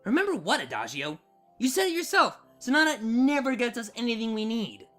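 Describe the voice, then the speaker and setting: A woman's voice: on the high side, big pitch swings, fairly quick, a bit bright, soft background music. A woman voicing a surly, cynical siren in a storybook reading, grumbling in a dismissive, needling way. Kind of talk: storybook fan fiction reading.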